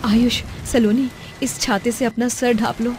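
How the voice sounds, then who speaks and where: Woman playing a plaintive poor cartoon mother, higher-pitched. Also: steady tone, a little slow, close to an everyday voice. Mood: caring. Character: poor, long-suffering, loving mother. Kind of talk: cartoon voice acting. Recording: music under the voice.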